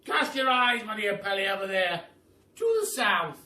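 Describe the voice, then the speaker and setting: A man voicing a loud, pompous, generous aristocratic Duke from a storybook, grand and exclamatory. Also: high voice, lively intonation, moderate pace, pitched above the performer's own voice, full character voice. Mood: boastful, grand. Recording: roomy echo, far from mic.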